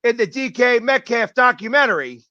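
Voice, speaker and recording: A man with a Philadelphia accent hosting a casual sports chat, loud and boastful as he teases his guests. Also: very high voice, expressive, moderate pace, dry recording, muffled recording. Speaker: host.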